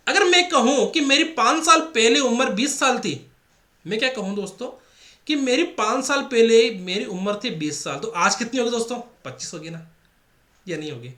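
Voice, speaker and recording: Animated man teaching math, echoey room. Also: high voice. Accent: Rajasthani Hindi. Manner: repetitive, drills points with rhetorical questions to the class. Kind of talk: exam-prep math lesson.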